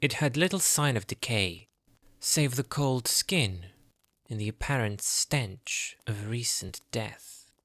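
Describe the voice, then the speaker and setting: A man narrating a scripted fantasy audio drama in a measured storytelling manner. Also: medium pitch, expressive, a bit bright, no room sound.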